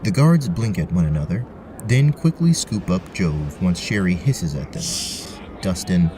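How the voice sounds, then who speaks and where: Low-voiced man narrating fantasy. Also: expressive, a little slow, background noise. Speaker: narrator, game master. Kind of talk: scripted fantasy story narration.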